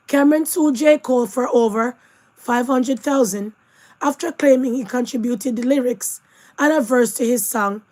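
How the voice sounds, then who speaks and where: Woman host, hoarse from losing her voice, higher voice, chatty commentary. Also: a little mellow. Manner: chatty asides, addresses viewers directly. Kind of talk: casual news commentary.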